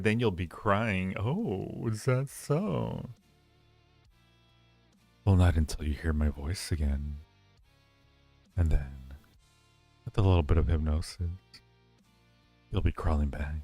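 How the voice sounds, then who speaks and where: A man hosting a casual livestream, teasing and rambling playfully as he ranks things and repeats his phrases. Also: low voice. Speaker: livestream host. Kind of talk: casual livestream chat and ranking commentary.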